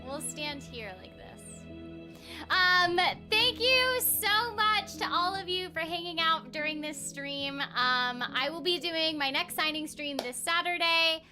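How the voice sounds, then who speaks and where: Really high girly woman voice, slow playful gamer stream chatter, music in back. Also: bright, forceful tone. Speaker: game livestream host, voice actor. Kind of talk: casual livestream chat while playing a game. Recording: game music behind the voice. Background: video game music.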